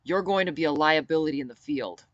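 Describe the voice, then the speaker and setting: A woman's voice: low voice, quick pace, dry recording, lo-fi sound, natural voice. A woman reading an audiobook and voicing a firm, commanding male rebel fighter who likes to tease. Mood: firm, stern.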